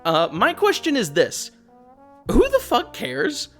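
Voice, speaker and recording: A man's voice: very high-pitched, huge pitch swings, slow, a bit bright. Sardonic man commentating over music. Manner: sardonic, blunt, casually profane. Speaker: video essayist, host. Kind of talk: opinionated game commentary, casual script with asides.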